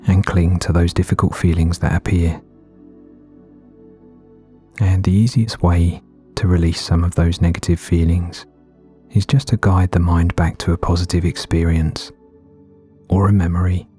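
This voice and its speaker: Deep, mellow male meditation guide. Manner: gentle, soothing, unhurried with long pauses. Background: background music.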